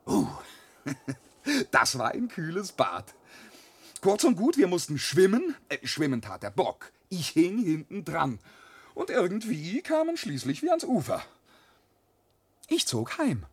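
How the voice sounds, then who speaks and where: An actor playing a young boastful peasant son who spins wild tales in a classic radio play, swinging from loud to hushed. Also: male voice, high voice, wildly swooping pitch, takes it slowly, long pauses, husky, heavily acted. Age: young adult.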